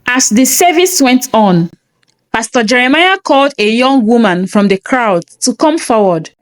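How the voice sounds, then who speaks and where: A woman's voice: a little high, lively intonation, measured pace, no echo. A woman reading a scripted first-person story in a dramatic, suspenseful manner.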